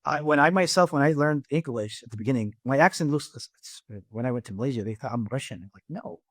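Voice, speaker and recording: A middle-aged man with an Iraqi Arabic accent, a guest on a podcast interview, talking haltingly with many restarts and repeated words. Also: mid-range voice, lively intonation, no echo, studio quality. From Iraq. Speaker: guest, engineering firm president.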